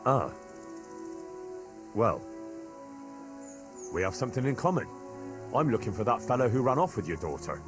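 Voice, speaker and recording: A man's voice: mid-range voice, very animated, normal speed, pauses often, over music, lightly acted. An actor playing a blunt, wry young medieval man in naturalistic video game acting. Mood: wry, probing.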